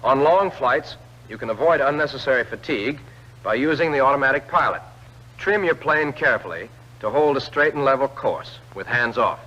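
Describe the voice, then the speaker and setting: A man narrates an old military training film in a bright, forceful voice of medium pitch. He gives clear, direct instructions in a scripted 1940s instructional style. The sound is a dull, lo-fi vintage film soundtrack. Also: lively intonation, moderate pace.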